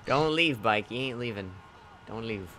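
A man's voice: medium pitch, lively intonation, normal speed, sharp tone. A young man in casual gaming banter, playfully taunting the other players. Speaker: video game player.

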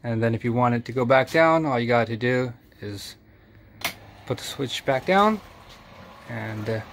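A man's voice: mid-range voice, expressive, measured pace. Matter-of-fact man explaining a DIY build. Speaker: DIY channel host. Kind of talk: casual DIY voice-over walkthrough.

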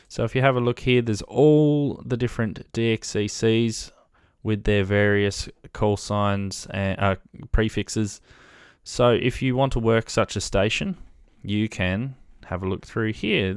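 A man's voice: on the low side, clean tone, no room sound. A man in his thirties with an Australian accent, hosting a casual tutorial to camera at a close desk microphone. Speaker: host of a ham radio video channel. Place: small indoor room at a desk. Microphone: desk microphone, close, with headset on.

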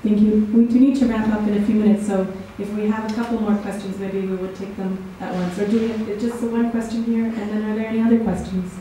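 A woman's voice: medium pitch, fairly even intonation, fast talker, few pauses, dark tone. A woman moderating a panel, chairing questions on a theatre stage. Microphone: handheld microphone, heard from far camera. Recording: echoey theatre, distant, faint noise.

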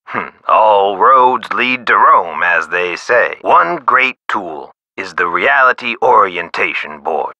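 Hospital nurse, eccentric, theatrical, very sharp bright tone, dry close sound, video game dialogue. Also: male voice, on the low side, lively intonation, slow pace, hardly any pauses, no room sound, full character voice. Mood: theatrical, whimsical. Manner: theatrical, whimsical, swooping emphasis. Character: chatty, eccentric, mellow-minded male hospital nurse. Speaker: nurse character in an adventure game.

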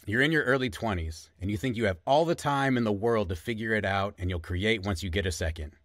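Audio drama: male actor as struggling musician, thirtyish, hesitant, wistful, lower register, very dry recording. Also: fairly quick, fairly bright tone, no echo, lightly acted. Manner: hesitant, reflective.

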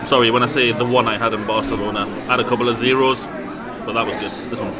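A man's voice: medium pitch, level tone, quick pace. A man vlogging casually, chatting about his trip as he goes. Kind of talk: casual travel vlog chat. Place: inside a busy restaurant. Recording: loud music, busy restaurant chatter. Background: music and crowd chatter.

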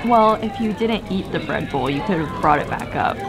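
A woman's voice: on the low side, lively intonation, normal speed. A young woman chatting enthusiastically on a travel vlog, giggling often as she describes food and her day. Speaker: travel vlogger. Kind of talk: casual travel vlog chat. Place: cozy restaurant and camper van. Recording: background music under voice.

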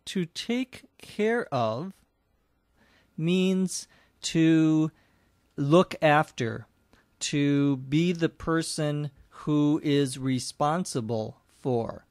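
A man speaks extremely slowly in a slightly high voice, recorded close and dry. He phrases everything with deliberate care, as the host of a lesson podcast reading and explaining for language learners. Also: animated, a little mellow, no echo. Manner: deliberate, carefully phrased delivery. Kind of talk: slow scripted narration and explanation for English learners.

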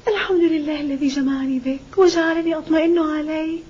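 A devoted young daughter in a cartoon drama, voiced by a woman, very high and mellow. She speaks with tender, earnest pleading. The audio is somewhat dull. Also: flat delivery, fast, close to an everyday voice. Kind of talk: animated drama dub acting.

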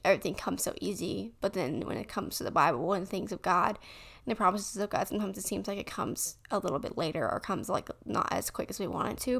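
An eighteen-year-old woman hosts a casual faith podcast, her voice carrying a noticeable gravelly fry. She chats quickly, dotting her speech with "like", into a desk mic in a small room. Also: mid-range voice, a bit bright. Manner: quick, chatty, with many "like" fillers. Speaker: podcast host. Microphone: desk condenser mic.

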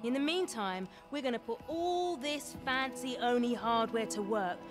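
A woman's voice: a little high, expressive, unhurried, music in the background. A woman playing a tough, wry supersoldier commander in a video game, with a dry, confident, commanding delivery. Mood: pleased, taunting. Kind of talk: video game cutscene acting.

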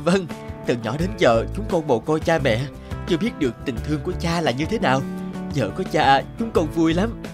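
A man voicing a poor, devoted young peasant husband in an animated folk tale, polite and earnest. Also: fairly high pitch, fairly quick, music in the background, natural voice. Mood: joyful.

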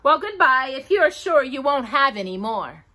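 A woman's voice: high-pitched, very animated, normal speed, pushed and bright, pitched above the performer's own voice, heavily acted. Woman playing a prim, fussy rabbit. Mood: polite. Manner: prim, proper, slightly fussy. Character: fussy, careful, polite male rabbit.

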